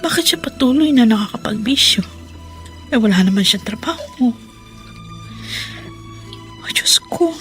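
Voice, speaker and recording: A woman's voice: on the high side, lively intonation, measured pace, pauses often. Worried, prayerful mother, music underneath. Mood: worried, pleading. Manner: plaintive, prayerful soliloquy. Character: poor, devout, worried washerwoman mother and wife. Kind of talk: Filipino radio drama acting.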